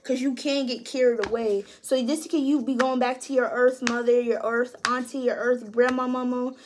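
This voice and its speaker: High-voiced, cussing woman tarot reader. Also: steady tone, moderate pace, noisy background. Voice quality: high-pitched voice. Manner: profane, slangy and emphatic. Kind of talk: casual tarot reading.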